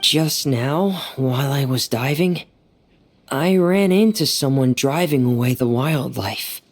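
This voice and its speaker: A woman playing a shy, gentle, earnest young diver in an anime game, speaking softly and hesitantly. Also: low voice, full character voice. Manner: hesitant, soft-spoken, earnest.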